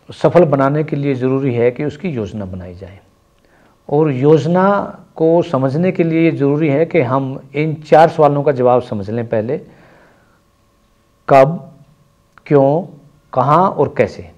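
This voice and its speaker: A man's voice: medium pitch, mellow, lo-fi sound. A middle-aged man, an institute official, giving a prepared address in a formal, didactic manner. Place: office, indoors.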